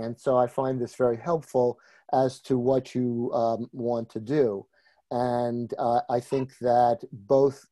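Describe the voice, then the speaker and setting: A man with a New York accent, a trainer speaking in a group discussion, pausing often with fillers. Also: mid-range voice, dark tone. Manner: hesitant, many filler pauses. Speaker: empathy circle trainer.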